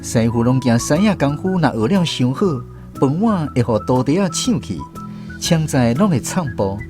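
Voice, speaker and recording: A man's voice: mid-range voice, lightly acted. A man with a Taiwanese accent playing a reflective storyteller who narrates a radio drama. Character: reflective male storyteller recalling apprentice days. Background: guitar music.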